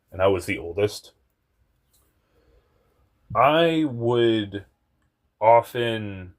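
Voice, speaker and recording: A young man with a deep, low voice tells a personal story slowly and unhurriedly. His pitch swings widely as he wanders off on tangents, laughs at himself and swears. His talk is candid and unscripted. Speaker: vlogger.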